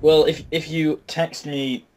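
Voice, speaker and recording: A man's voice: fairly high pitch, level tone, slow pace, darkish tone. A young man chatting casually and teasingly as co-host of a gaming video. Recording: noisy amateur voice-chat mic.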